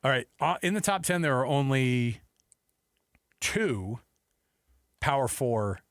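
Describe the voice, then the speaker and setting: A man's voice: mid-range voice, very animated, takes it slowly, a bit bright, no room sound. An American man hosting sports talk radio with casual banter, speaking into a close studio microphone.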